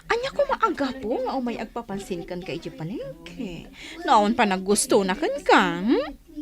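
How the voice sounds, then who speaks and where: A woman acting a teasing, gossipy young best friend in an expressive radio drama style. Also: a little high, moderate pace.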